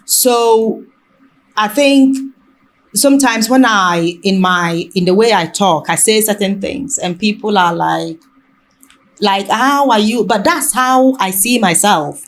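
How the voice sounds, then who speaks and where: Slow-paced, halting woman. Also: mid-range voice. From Ghana. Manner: halting, often rephrasing mid-sentence. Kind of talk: reflective conversational discussion.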